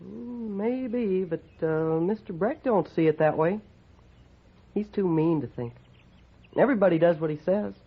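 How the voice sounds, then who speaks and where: A man's voice: high-pitched, measured pace, husky, full character voice. An actor playing an earnest, plain-spoken country boy with a rural American accent in an old-time radio western, simple and folksy. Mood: earnest, unsure. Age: child character. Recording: muffled vintage radio recording.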